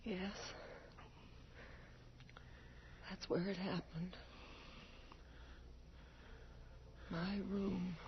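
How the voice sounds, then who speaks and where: An actress playing a fragile, haunted young wife, anxious, halting and apologetic, in an old-time radio drama. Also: female voice, fairly low pitch, little pitch movement, lightly acted. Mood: subdued dread. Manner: halting, distressed. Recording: muffled, noisy old radio recording.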